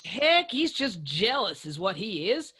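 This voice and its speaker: A woman's voice: medium pitch, sing-song, measured pace, a bit bright, slightly rough, exaggerated voice. A woman playing a motherly, plain-spoken mountain matron with a Southern US accent in a radio drama. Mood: reassuring.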